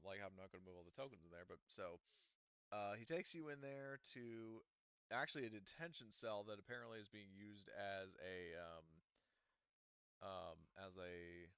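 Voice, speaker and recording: Rambling game master with a low voice. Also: male voice, moderate pace, sharp tone, clear, resonant tone, no room sound. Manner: rambling, full of fillers and restarts, casual swearing. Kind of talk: running a tabletop roleplaying game. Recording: dull lo-fi recording, dry.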